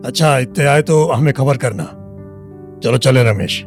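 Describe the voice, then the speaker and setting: A male actor plays a menacing small-town tough with a creaky, gravelly voice. He talks coarsely and rustically, with a short temper. Faint music runs under this audio drama. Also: medium pitch, measured pace, natural voice. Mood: brooding, aggrieved. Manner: coarse, rustic, swearing speech. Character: hot-tempered, bullying small-town man, lovesick and menacing. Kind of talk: Hindi audio drama acting.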